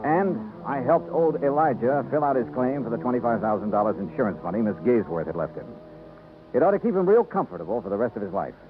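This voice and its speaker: A tinny vintage radio recording carries a confident American male private investigator. His delivery is brisk and wisecracking, swinging freely in pitch in classic 1950s detective-drama fashion. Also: sing-song. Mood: tough, matter-of-fact. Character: wry, confident freelance insurance investigator, adult man. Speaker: lead actor narrating and playing detective. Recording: muffled old radio recording, narrow band, music.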